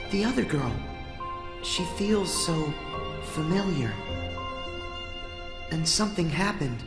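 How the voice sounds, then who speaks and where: An earnest teenage boy hero in a video game, sincere and thoughtful. The lines come slowly in long reverb, over music. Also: medium pitch. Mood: puzzled, troubled. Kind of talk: video game cutscene acting.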